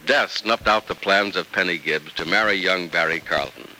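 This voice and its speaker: A man's voice: low-pitched, normal speed. A man working as a polished, dramatic announcer and narrator, reading scripted radio copy in the style of the 1940s. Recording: old noisy radio recording, sharp sound.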